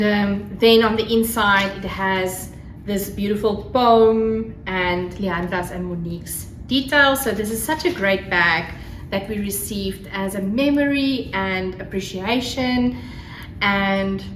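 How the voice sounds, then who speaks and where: Casting: South African woman, Afrikaans first language, gushing craft vlogger, leisurely delivery, medium pitch, faint fire noise behind. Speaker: craft podcast host. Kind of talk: casual solo vlog chat. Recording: roomy echo, faint background noise. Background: crackling fire, faint noise.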